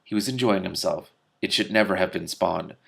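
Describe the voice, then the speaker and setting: A man's voice: deep voice, moderate pace, creaky, fairly bright tone, full character voice. A man reading the part of a middle-aged god of fate from a fantasy novel, formal, knowing and faintly taunting. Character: kindly-looking, taciturn male god of fate, middle-aged, sinister.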